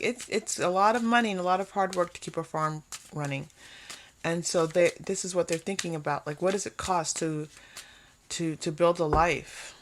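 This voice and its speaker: A woman hosting an unscripted tarot reading, talking conversationally to viewers and often pausing or repeating phrases as thoughts come to her. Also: on the low side, a little hiss or hum. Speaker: tarot reader hosting a pick-a-card reading.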